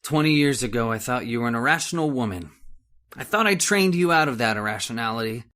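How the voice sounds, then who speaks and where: An actor playing a cold, condescending middle-aged scientist husband in an old-time radio horror drama. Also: male voice, medium pitch, lively intonation, fast talker, sharp tone. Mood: scornful, impatient. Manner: condescending, stern, impatient.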